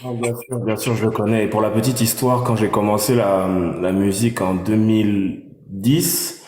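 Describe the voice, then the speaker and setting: Echoey reverberant recording, man, lowish voice, panel guest, casual reflective chat. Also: steady tone, moderate pace, a little mellow. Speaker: guest panelist, music artist. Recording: echoey, long room reverb.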